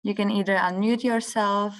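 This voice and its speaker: A woman moderating an online Q&A, brisk and friendly while inviting questions. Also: medium pitch, steady tone, no room sound. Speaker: session moderator.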